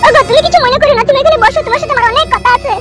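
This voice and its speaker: Squeaky high cartoon wife, wheedling and defensive, talks really fast. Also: female voice, over loud music. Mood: pleading. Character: pleading, sly unfaithful village wife. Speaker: cartoon voice actor. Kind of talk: amateur comedy cartoon dialogue.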